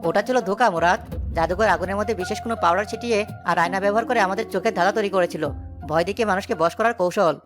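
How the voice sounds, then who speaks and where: A woman's voice: medium pitch, racing, over music, lightly acted. A woman playing an earnest young village rescuer in a cartoon story, speaking in a dramatic, urgent manner. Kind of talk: animated cartoon story acting.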